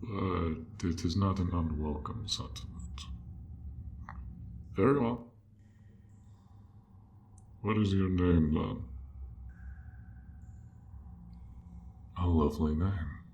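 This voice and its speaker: A man voicing a gentle, awkward dragon in an audio roleplay, with formal, old-fashioned, hesitant phrasing, speaking straight to the listener. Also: low voice, slow, pauses often, slight background noise. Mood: puzzled, softening. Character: courteous, awkward, gentle male dragon, formal and hoard-proud.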